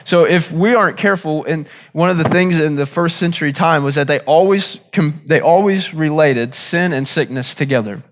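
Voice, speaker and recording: A man preaching with a slightly higher voice than most men. He sounds a little hoarse, and he teaches in an earnest way, circling back over his phrases. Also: moderate pace, hardly any pauses. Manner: earnest teaching, repeats phrases, restarts often. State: hoarse, recovering from lost voice. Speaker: pastor. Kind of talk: sermon.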